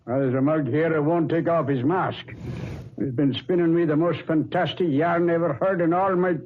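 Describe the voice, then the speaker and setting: A man playing a blunt, skeptical policeman in an old radio drama, talking in gruff street slang. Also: a little high, fairly even intonation, moderate pace, close to an everyday voice. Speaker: Casey, police officer. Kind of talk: 1940s radio acting. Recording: tinny old radio recording with faint hiss.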